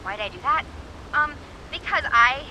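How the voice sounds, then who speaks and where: A woman's voice: sits high, expressive, a little slow, muffled recording, noisy background. A woman playing an awkward, flustered young radio advice host in a video game, rambling, correcting herself and dryly sarcastic. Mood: nervous, defensive. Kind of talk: naturalistic video game voice acting.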